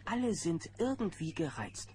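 A narrator telling a children's audio play in an expressive storytelling style. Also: mid-range voice, moderate pace, a little hiss or hum, lightly acted.

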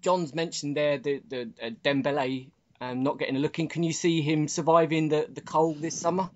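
A man's voice: on the high side, fairly even intonation, moderate pace. Male podcast host, lo-fi sound. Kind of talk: casual sports podcast chat.

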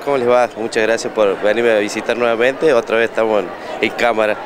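A man's voice: fairly low pitch, fairly even intonation, fast talker, background noise. A man in his thirties with an Argentine accent, a gym owner interviewed at his fair stand, repeating words and restarting often as he talks into a handheld mic. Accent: Argentine Spanish. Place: indoor expo hall stand. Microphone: handheld reporter mic.

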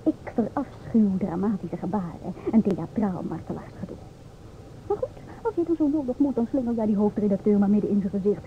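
An actress playing an outspoken, sharp-tongued woman in a Dutch radio play, with an assertive, mocking, theatrical delivery. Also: medium pitch, animated, brisk, natural voice. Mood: indignant. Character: outspoken, sharp-tongued divorced woman lecturing two inspectors. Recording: old radio, thin phone-like sound, noisy.

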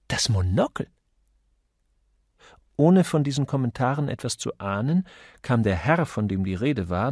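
A man narrating a crime audiobook as expressive, scripted literary storytelling. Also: fairly low pitch, breathy edge, no room sound, studio quality.

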